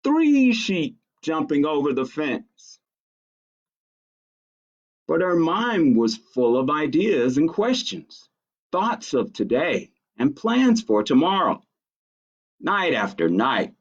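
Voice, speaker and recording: A man reads picture books aloud for young children in an expressive storytime style. His pitch swings widely while his pace stays leisurely, and he adds small asides about the pictures. The recording is dull and lo-fi. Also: slow. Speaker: library storytime reader. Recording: dull lo-fi audio.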